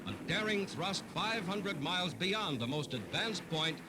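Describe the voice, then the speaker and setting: A man's voice: high voice, sing-song, a little slow, heavy background noise. A man with an American accent narrating a 1940s newsreel in a dramatic, formal, declamatory announcer style, on a vintage soundtrack.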